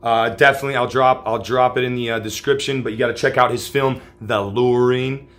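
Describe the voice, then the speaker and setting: Pumped up guy in his 40s hosting a horror show, bright punchy voice, yelling the intro. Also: mid-range voice, steady tone, brisk. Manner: loud, hyped, swearing casually. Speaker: host. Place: home room, solo on camera.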